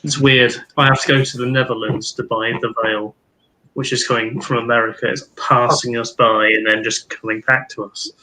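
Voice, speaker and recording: Wry guy, bright and forceful voice, playful tone. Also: medium pitch, flat delivery, normal speed, rough recording. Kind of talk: casual chat on a video call.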